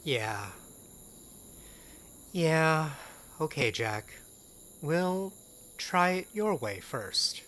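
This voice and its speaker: An actor playing a gruff, sarcastic but protective partner in an audio drama, talking in a blunt, sweary, dry way. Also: mid-range voice, sing-song, very slow, long pauses, fairly bright tone, heavily acted. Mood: reluctant, serious.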